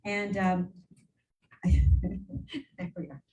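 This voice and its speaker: A woman's voice: medium pitch, flat delivery, drawn-out words, dark tone. Chatty woman heard from across a room. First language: English. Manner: rambling, chatty, many fillers and restarts. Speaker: regional council warden reporting to mayors. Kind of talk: meeting report, casual and unscripted. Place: council meeting room. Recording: distant voice, faint room noise.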